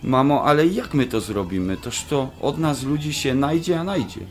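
An actor playing a mildly exasperated, placating adult son, speaking casually in a radio drama. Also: male voice, mid-range voice, lively intonation, moderate pace, soft background music, natural voice.

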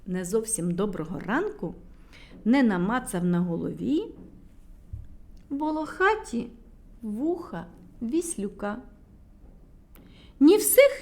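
A Ukrainian-accented woman reads a children's fairy tale aloud. Her fairly high voice rises and falls in a lively, expressive way. She keeps a warm storyteller's pace.

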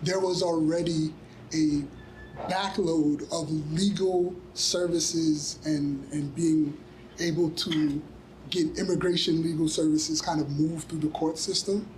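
A man panelist speaks into a handheld mic in a conference room. He explains in a measured, halting way, his voice sitting a little high. A faint hum lies under the speech. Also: steady tone, darkish tone, low-quality recording. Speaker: panelist, community advocate. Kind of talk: panel discussion answer.

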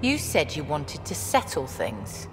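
A hard female outlaw boss for a video game, full of contempt. Her low, animated voice gives orders in a long reverb with music behind. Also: a little slow, heavily acted. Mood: scornful. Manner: scornful, commanding. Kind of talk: cinematic game dialogue acting.

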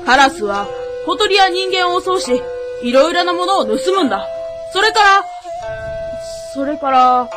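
A woman's voice: very high voice, lively intonation, measured pace, over music. A woman playing a stubborn, indignant young boy in a radio drama, speaking in an accusing, insistent way. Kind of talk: Japanese radio drama acting.

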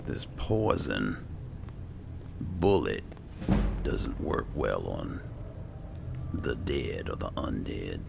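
A man giving hushed gameplay commentary, murmuring and muttering to himself, often half-whispering as he roleplays. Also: very deep voice, sing-song, extremely slow, creaky, pauses often, noisy background. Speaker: gaming channel host. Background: video game dungeon ambience.

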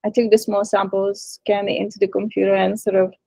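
A woman's voice: mid-range voice, level tone, fairly quick, gravelly, mellow, no echo. A woman, talking casually and hesitantly on a video call from her living room. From Hungary. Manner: thoughtful, hesitant, many fillers. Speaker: prospective student. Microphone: video call laptop mic.

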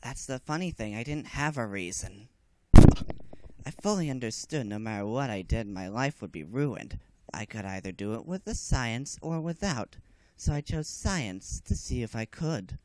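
A man's voice: mid-range voice, lively intonation, moderate pace, natural voice, rough recording. A man in an anime fan dub playing a coldly self-justifying alchemist, smug and taunting. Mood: cold, resigned.

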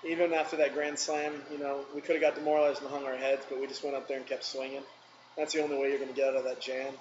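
A man's voice: mid-range voice, little pitch movement, fast talker. A man in his thirties, a baseball team manager, answering press questions in a plainspoken, matter-of-fact way at a table mic. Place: press conference room, seated at table. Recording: echoey room, dull noisy sound.

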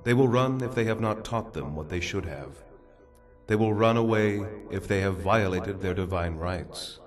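An actor playing a solemn man who explains the judgment of souls in slow, measured words. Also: on the low side, faint music behind, close to an everyday voice. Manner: grave, slow, measured pronouncements. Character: solemn man guiding a soul through judgment. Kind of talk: dubbed drama acting.

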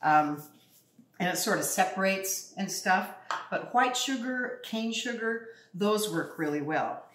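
A woman's voice: mid-range voice, lively intonation, measured pace, a bit bright. A woman in her fifties hosting a casual cooking demo in her home kitchen, talking upbeat and straight to viewers. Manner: chatty, upbeat, addresses viewers directly. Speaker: cooking show host. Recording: echoey kitchen, faint noise.

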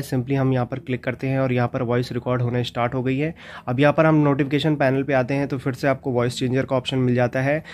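A man hosts a casual phone tutorial in a medium-pitched voice. He walks viewers through things step by step and keeps addressing them as friends. There is faint noise and room ambience behind him. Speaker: tech tutorial host. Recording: steady background noise and ambience.

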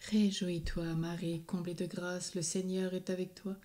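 A woman leading a recited rosary, speaking in a slow, steady, prayerful way. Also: fairly low pitch. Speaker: prayer leader.

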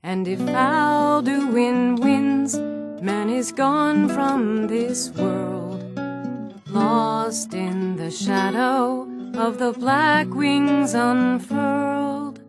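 Female tavern bard, fantasy game ballad, sung, high, very slow delivery. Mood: solemn, singing. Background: plucked string instrument music.

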